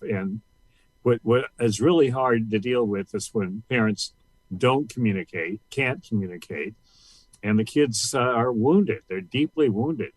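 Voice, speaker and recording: Older man, low voice, dry close sound. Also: no room sound, rough recording. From Missouri and Louisiana, US. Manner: thoughtful, measured, with frequent restarts. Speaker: author guest. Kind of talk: casual interview talk.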